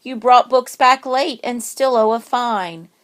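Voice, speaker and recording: A woman voicing a brisk, no-nonsense woman school librarian in a storybook, firm and questioning. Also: a little high, voice pushed up, natural voice. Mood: knowing.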